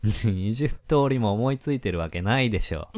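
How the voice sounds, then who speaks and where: A male actor as a smug young schemer in a comic radio play. His lowish voice moves in lively swings, nonchalant and mock-polite toward his rival. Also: normal speed, close to an everyday voice. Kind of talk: comedic Japanese radio drama acting.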